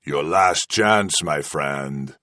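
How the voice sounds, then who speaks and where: A cruel commander taunts his captive in a mocking, singsong menace, his voice lifted high above the narrator's own. A man puts him on in a dry, close reading with no room sound. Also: very slow, sharp tone, no room sound, heavily acted. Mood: menacing mockery. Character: cruel sneering Spanish commander, menacing, toying.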